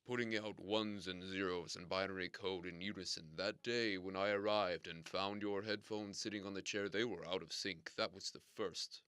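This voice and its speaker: A man's voice: deep voice, steady tone, bright, forceful tone, clear, resonant tone, dry recording. A man playing a grandiloquent radio station boss. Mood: explaining. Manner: formal, slow, explanatory, theatrical oaths. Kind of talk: comedic audio drama acting.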